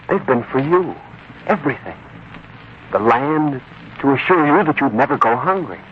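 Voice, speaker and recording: An actor playing an earnest, anxious young bridegroom and humble employee, deferential and hesitant, in a 1940s radio drama. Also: male voice, medium pitch. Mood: tender, reassuring. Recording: muffled, faintly noisy 1940s radio broadcast.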